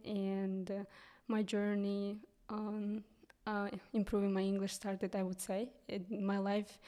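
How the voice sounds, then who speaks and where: A young woman from Kyrgyzstan with a Kyrgyz accent, a guest English teacher speaking English as a third language, pausing often with ums and restarts. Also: medium pitch, dark tone. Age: young adult. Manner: hesitant, many ums and restarts. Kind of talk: casual interview.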